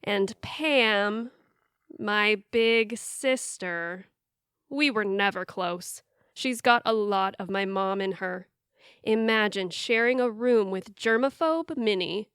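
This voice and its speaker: A woman's voice: mid-range voice, slow, a bit bright, smooth, dry recording, studio quality. A woman playing a wry, exasperated young adult daughter in an audio theatre comedy-drama. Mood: wry, confiding. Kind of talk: audio theatre acting with confiding narration.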